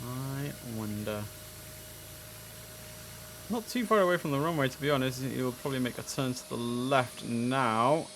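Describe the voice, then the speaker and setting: A man's voice: medium pitch, moderate pace, long pauses, fairly bright tone, a little hiss or hum. A man commentating casually on a simulator game, chatting and musing to himself, with clipped radio calls. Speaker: streamer playing an air traffic control simulator.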